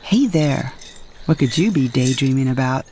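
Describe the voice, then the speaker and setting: A woman playing a calm, bossy superhero scientist with a wry, unflustered manner in an audio drama. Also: extremely low pitch, moderate pace, a little hiss or hum. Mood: teasing, soft.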